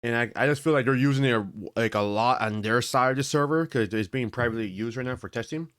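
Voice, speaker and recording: A man hosting a tech video, chatting casually and thinking aloud as he tests a game, often halting and correcting himself. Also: mid-range voice, a bit bright, smooth. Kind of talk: casual commentary while testing a game.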